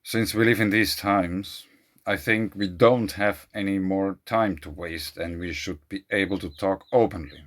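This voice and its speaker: A man whose first language is German, speaking English in an earnest, questioning monologue to camera, often trailing off quietly. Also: deep voice. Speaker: video creator. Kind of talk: casual monologue to camera, reading out an email.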